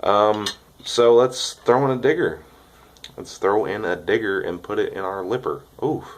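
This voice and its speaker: A man's voice: fairly low pitch, a little slow. A young man, congested from a cold, chatting casually and cheerfully in a rambling vlog with lots of fillers. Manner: rambling, upbeat, filler-heavy. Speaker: vlogger reviewing dip tobacco.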